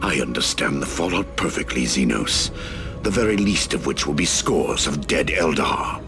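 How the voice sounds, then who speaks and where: An actor playing a betrayed, resolute veteran warrior leader in a game cutscene, hard and commanding. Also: male voice, rumbling low, lively intonation, normal speed, music in the background.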